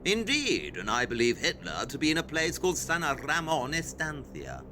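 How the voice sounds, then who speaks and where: Brilliant condescending detective, lively swooping delivery, precise lecturing, audio drama, clear background rumble. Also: male voice, normal speed. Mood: assured, intent. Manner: didactic, condescending, precise explanations. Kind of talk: audio play acting.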